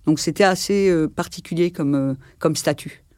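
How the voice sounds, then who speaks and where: A woman's voice: low voice, normal speed, a little mellow, no room sound. Hesitant woman in her sixties, dry close mic. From France. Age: 60s. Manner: hesitant, reflective, many fillers and restarts. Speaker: interview guest. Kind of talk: personal life-story interview.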